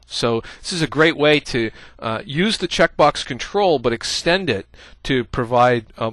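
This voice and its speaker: A man's voice: mid-range voice, fairly bright tone, no room sound. A man giving a casual screencast coding tutorial, thinking aloud as he types, with many "uh" fillers. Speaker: programming instructor.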